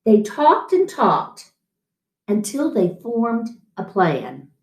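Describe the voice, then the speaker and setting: Expressive middle-aged woman reading a picture book. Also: fairly high pitch, extremely slow, gravelly. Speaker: story time host. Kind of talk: animated children's picture-book read-aloud. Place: small room at home, indoors. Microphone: camera mic a few feet away. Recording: roomy echo.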